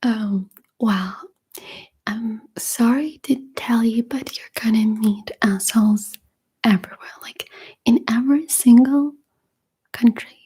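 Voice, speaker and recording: A young woman with a Russian accent, whispering softly and hesitantly as she answers viewer questions. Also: a little high, slow, slightly rough. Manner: soft unvoiced whispering, hesitant with fillers. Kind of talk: whispered ASMR Q&A.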